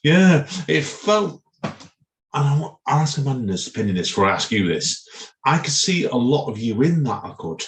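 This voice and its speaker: A man's voice: mid-range voice, sing-song, moderate pace, slight background noise. A man hosting a podcast, chatting casually and effusively, full of compliments. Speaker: podcast host. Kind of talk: casual chat, responding to a reading.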